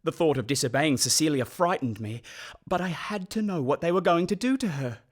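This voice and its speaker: A man's voice: a little high, expressive, brisk. An actor playing a reflective man narrating memories of his boyhood in a radio play, wistful and literary. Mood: anxious. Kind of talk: scripted first-person narration.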